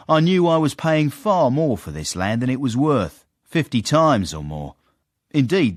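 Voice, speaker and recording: An actor playing a proud, self-important Victorian gentleman with an English accent, narrating and speaking formally in a period radio drama. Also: mid-range voice, no room sound, studio quality.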